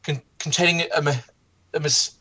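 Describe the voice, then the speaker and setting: A man chatting casually as a guest commentator, halting and stammering, often repeating his words. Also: fairly high pitch, steady tone, pushed and bright. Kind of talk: casual chat.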